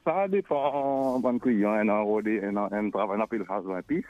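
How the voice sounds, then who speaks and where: A middle-aged man with a Mauritian accent, calling a radio show and talking casually and haltingly over the telephone. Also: fairly low pitch, no room sound. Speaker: phone-in caller, stone mason. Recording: tinny phone line.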